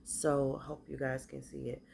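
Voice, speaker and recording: A woman with a stuffy cold, casually showing off the spiritual bath and body products she makes, talking in a halting, hesitant way. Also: very deep voice, flat delivery, gravelly, darkish tone, slight background noise.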